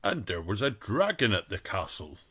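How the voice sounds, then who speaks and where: A man's voice: lowish voice, very animated, normal speed, heavy background noise. A man voicing a good-natured pig father telling a bedtime story, with music playing behind. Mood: gentle. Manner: gentle, storytelling.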